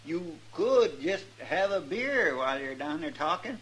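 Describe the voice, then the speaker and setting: A man's voice: a little high, big pitch swings, a little slow, fairly bright tone. A man playing a folksy, deferential sidekick in a radio western, chatty and respectful, with a rural American drawl. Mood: friendly, hesitant. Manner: respectful, folksy, eager to chat. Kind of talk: old-time radio western acting. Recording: old radio recording with noise.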